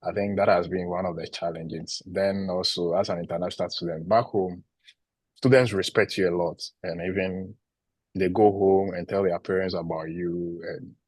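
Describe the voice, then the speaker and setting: A man from Ghana with a Ghanaian English accent, giving measured interview answers about teaching. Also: low voice, fairly even intonation, darkish tone, slightly rough, no echo. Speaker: international PhD student and teacher.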